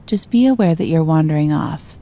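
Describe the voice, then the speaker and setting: A woman gently guiding a meditation, giving slow, soothing instructions. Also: low voice, lively intonation. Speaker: meditation guide. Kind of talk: guided meditation.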